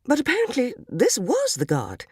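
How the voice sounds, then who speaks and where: A woman's voice: high-pitched, wildly swooping pitch, normal speed, a little mellow, husky, dry recording, studio quality, pitched above the performer's own voice, heavily acted. A woman reading a dramatic story as a prim, tearful, naive English housewife from the 1940s, genteel, wistful and earnest, with an English accent. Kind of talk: dramatic first-person short story reading.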